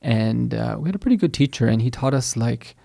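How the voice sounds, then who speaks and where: A man's voice: mid-range voice, lively intonation, brisk, vocal fry. A middle-aged man from the United States, a guest scholar talking casually in a podcast interview, hesitant and full of restarts. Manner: soft-spoken, hesitant, many restarts. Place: mosque studio.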